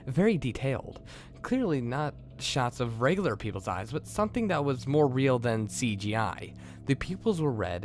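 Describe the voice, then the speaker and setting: Male creepypasta narrator and host, mid-pitched, animated delivery, faint music bed. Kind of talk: scripted creepypasta story narration.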